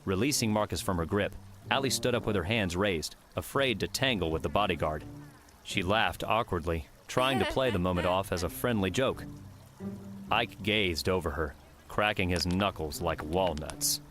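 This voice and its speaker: A man's voice: low-pitched, moderate pace, over music. A man reading scripted fiction as an audiobook narrator.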